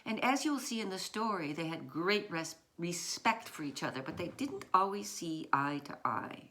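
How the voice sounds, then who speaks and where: A woman who writes children's books, reading aloud and chatting in a storytime style, with deliberate, emphatic phrasing. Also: on the low side, lively intonation, pushed and bright. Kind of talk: storytime read-aloud with informal asides.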